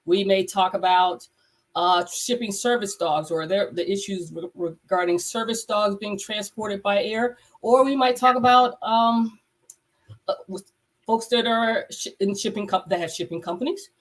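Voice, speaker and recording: A woman veterinarian hosts a casual live chat over a video call. Her voice sounds congested, and she rambles, stopping and restarting often. Her pitch sits in the middle. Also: a little slow, rough recording. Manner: rambling, with many fillers and restarts. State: congested from a cold. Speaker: veterinarian and podcast host.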